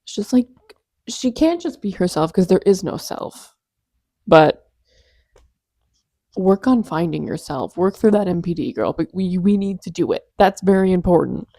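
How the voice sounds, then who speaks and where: A woman's voice: lowish voice, animated, moderate pace, mellow, no echo, clean studio sound. A woman giving sarcastic reaction commentary with mocking asides.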